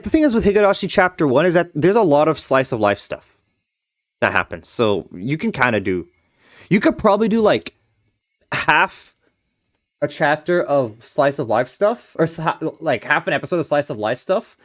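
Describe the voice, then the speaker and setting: A young man from Trinidad and Tobago talks with his viewers in a rambling, start-stop way. His pitch swings a lot and sits a bit high. The sound is dry and close to the mic. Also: no room sound. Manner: rambling, frequent restarts and hedging fillers. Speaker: streamer. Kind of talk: casual live-stream chat with viewers, reading a visual novel aloud.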